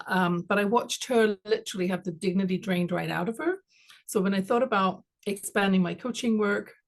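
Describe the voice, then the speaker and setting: A woman from London with a London English accent, a guest coach telling her story in a measured, reflective way in a podcast interview. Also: mid-range voice, vocal fry. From England. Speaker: guest, confidence coach and author.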